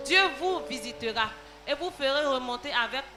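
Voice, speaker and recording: A woman reading Bible verses aloud in a steady, even way during a sermon. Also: high-pitched, normal speed. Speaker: scripture reader. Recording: instrumental music behind.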